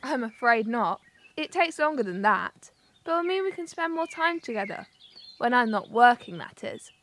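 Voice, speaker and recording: A woman playing a caring, sensible young English wartime worker in an audio drama, gentle and reassuring. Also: fairly high pitch, very animated, normal speed.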